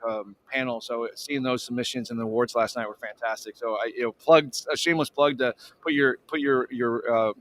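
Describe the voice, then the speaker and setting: A man's voice: mid-range voice, fairly even intonation, fairly quick, hardly any pauses, fairly bright tone. A man giving a casual live interview as an executive guest, enthusiastic and effusive.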